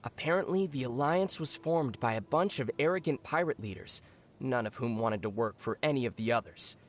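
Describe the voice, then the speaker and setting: An actor voices a young traveler from an anime video game. The delivery is calm and measured, knowledgeable and matter-of-fact. There is a wry, gently teasing edge to it. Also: medium pitch, slight background noise, close to an everyday voice. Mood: explanatory.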